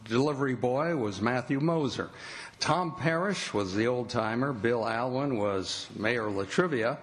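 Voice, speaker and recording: A man's voice: medium pitch, unhurried. A man working as a radio announcer, reading scripted credits and advertisements in old-time radio style.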